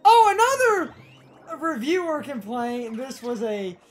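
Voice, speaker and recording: A young woman co-hosting a let's play with casual, animated gaming commentary. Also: high-pitched, slow. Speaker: let's play co-host and editor. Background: video game music.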